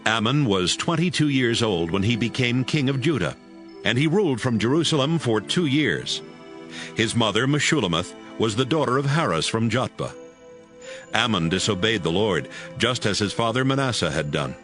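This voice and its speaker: A man narrating scripture from a script in a measured storytelling manner. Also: medium pitch, expressive, fairly bright tone. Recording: music bed behind narration.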